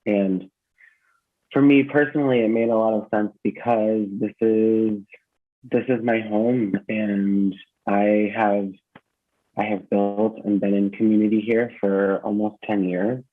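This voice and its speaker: A man giving reflective interview answers in an earnest, thoughtful way, often halting and repeating words. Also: on the low side, steady tone. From San Francisco Bay Area, United States. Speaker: gym founder, interview guest. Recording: muffled phone-like call audio.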